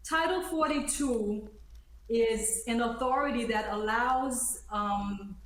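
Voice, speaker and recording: A woman's voice: fairly high pitch, slow. A woman born in Haiti and raised in the United States, speaking emphatically and indignantly as an attorney guest in a live news interview. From born in Haiti, grew up in the United States. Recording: roomy, echoing sound.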